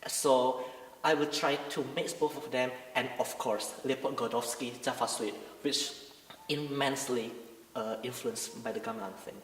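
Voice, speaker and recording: A man's voice: medium pitch, fairly even intonation, normal speed. A young Indonesian man, a pianist and composer, speaking earnestly and hesitantly in a pre-concert interview, often searching for words. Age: young adult. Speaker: pianist and composer, masters student. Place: large recital room. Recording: echoey room, distant mic, music behind.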